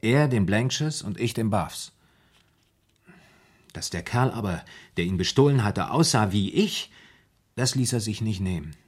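Husky, earnest ex-soldier. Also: male voice, lowish voice, expressive, a little slow, long pauses. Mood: reflective, earnest. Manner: earnest, confiding storytelling. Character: troubled, deferential red-haired ex-soldier recounting strange memories. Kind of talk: radio play narration.

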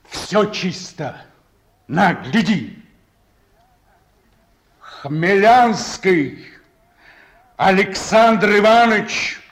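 A man's voice: high voice, animated, extremely slow, pauses often, heavily acted. An actor playing a weary, proud reformed ex-convict man in a Soviet radio drama, speaking tersely. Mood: insistent, proud.

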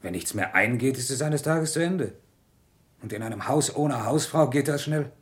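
A man's voice: medium pitch, expressive, normal speed, close to an everyday voice. An actor playing a bitter, weary, impoverished craftsman husband in a German radio play, terse, subdued and reproachful.

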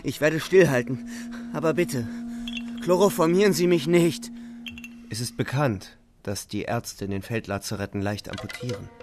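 A male actor as a young wounded soldier narrating a radio play in terse, urgent sentences. His voice sits in the middle register with a defiant edge, and music plays clearly underneath. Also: mid-range voice, moderate pace, lightly acted. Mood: pleading, determined. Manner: terse, urgent present-tense telling.

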